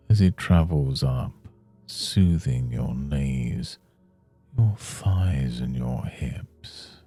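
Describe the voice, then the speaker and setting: A man leads a guided meditation in an extremely deep, husky voice. He speaks slowly and soothingly, leaving long pauses between measured, scripted phrases. Soft music plays faintly behind him.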